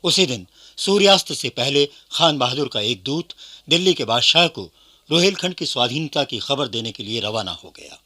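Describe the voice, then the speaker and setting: A man's voice: mid-range voice, sharp tone. A man narrating a scripted historical radio play as one of its storytellers.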